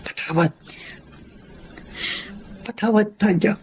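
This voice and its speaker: Remorseful ex-lover, Filipino accent, fairly high male voice, halting, emotional, radio drama, faint background noise. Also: a little mellow. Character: guilt-ridden man begging his former lover's forgiveness.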